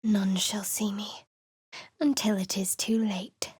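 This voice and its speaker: A woman voicing a guarded young female cleric of a dark goddess in a video game, cool, reserved and wry, whispering her prayers close to the mic. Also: medium pitch, slow pace, husky, no echo. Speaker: game companion character. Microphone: close studio mic.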